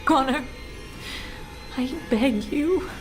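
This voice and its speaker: A woman playing an anguished noblewoman, a pleading and halting mother in a dramatic video game. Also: sits high, soft background music.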